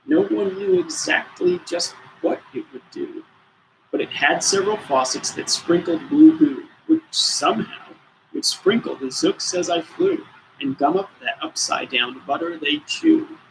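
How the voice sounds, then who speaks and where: A man narrates a rhyming storybook in a theatrical, rhythmic style. His voice is fairly high and very animated, with a creaky edge, over faint background noise. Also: a little slow, low-quality recording. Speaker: storybook reader.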